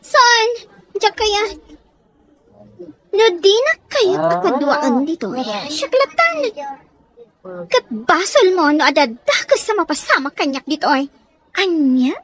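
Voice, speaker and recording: A woman's voice: extremely high pitch, very animated, takes it slowly, long pauses, fairly bright tone, full character voice. A woman with a Filipino accent playing a spoiled, bossy young daughter in an audio drama, demanding and snappish. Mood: defiant. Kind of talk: amateur audio drama acting.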